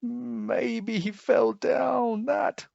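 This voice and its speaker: A man's voice: very high voice, steady tone, very slow, vocal fry, a little mellow, no room sound, highs cut off, voice pushed up, heavily acted. A man voicing a bossy, emotional cat in a children's storybook. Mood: tearful, sad. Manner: snappy, emotional. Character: bossy scoffing storybook cat, prone to wailing.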